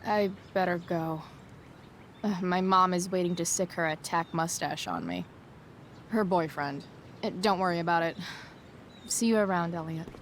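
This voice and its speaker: A woman's voice: lowish voice, lively intonation, normal speed, long pauses, slight background noise. A woman playing a defensive, rebellious teenage girl with dry sarcasm in a video game cutscene. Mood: awkward, evasive.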